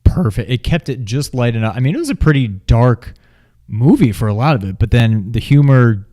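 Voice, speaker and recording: A man's voice: mid-range voice, expressive, moderate pace, dark tone. A man co-hosting a movie review in loose, unscripted chat, informally acting out his own reactions as he goes. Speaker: co-host of a movie review show.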